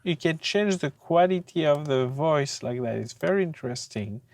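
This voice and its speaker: A tutor giving an informal software demonstration, speaking in a hesitant, halting way with frequent pauses. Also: medium pitch, expressive, no room sound. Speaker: audio mixing tutor.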